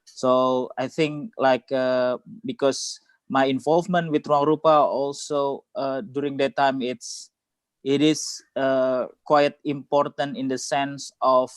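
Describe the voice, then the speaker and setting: A man with an Indonesian accent hosting a casual online conversation, halting as he searches for words and often saying "like". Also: medium pitch, steady tone, smooth, no room sound. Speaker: host. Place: indoors, video call.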